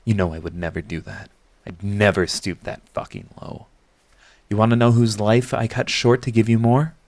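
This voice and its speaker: A man's voice: lowish voice, steady tone, moderate pace, creaky, a little mellow, dry recording. A man playing a proud villain who is secretly devoted to his rival, in an intimate, emotional audio roleplay. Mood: defensive, intense. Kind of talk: dramatic ASMR audio roleplay.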